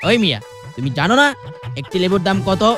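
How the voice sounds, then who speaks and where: A man plays a sarcastic shop owner in a cartoon. His voice sits high and he is excitable, sneering at his customer. Music runs clearly behind. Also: quick pace. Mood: scornful. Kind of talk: comic cartoon dub.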